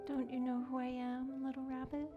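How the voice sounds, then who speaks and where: A woman playing a kindly, gentle magic nursery fairy in a staged storybook reading, with a breathy voice. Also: sits high, level tone, normal speed, phone-quality sound, loud music behind the voice, lightly acted. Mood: gentle, tender. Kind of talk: reader's theater storybook acting.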